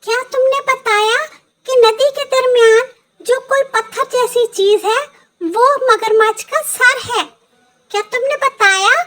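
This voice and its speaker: A woman voicing a small, helpful, clever female bird in a children's cartoon story, speaking in a deliberate, reassuring way. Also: very high voice, steady tone, a bit bright. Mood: questioning.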